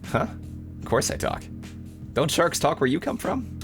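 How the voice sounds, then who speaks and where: A man's voice: on the high side, huge pitch swings, normal speed, vocal fry, over music, voice pushed up, heavily acted. A man voicing a laid-back tomboy shark girl in a visual novel, with breezy, slangy talk. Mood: amused. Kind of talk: visual novel character dialogue.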